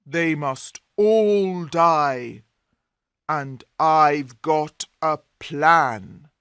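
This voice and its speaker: Man acting a slow, menacing bandit chief. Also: on the high side, a bit bright, smooth, dry recording, studio quality, heavily acted. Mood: plotting, commanding. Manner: commanding, menacing. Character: angry, scheming tall man, murderous bandit chief.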